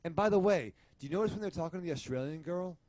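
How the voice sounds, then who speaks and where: A man's voice: high voice, lively intonation, very fast, darkish tone, dry recording. A man co-hosting a casual panel podcast, talking about a film in a rambling, crude and profane way. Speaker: podcast co-host reviewing a film.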